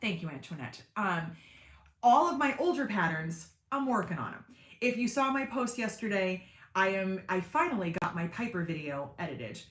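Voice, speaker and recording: A woman's voice: big pitch swings, moderate pace, a bit bright, roomy sound. Chatty woman hosting a sewing livestream. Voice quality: deep speaking voice in everyday talk. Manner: chatty and self-deprecating, lots of fillers and asides. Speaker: sewing channel host on a livestream. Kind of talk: casual livestream chat reading viewer comments.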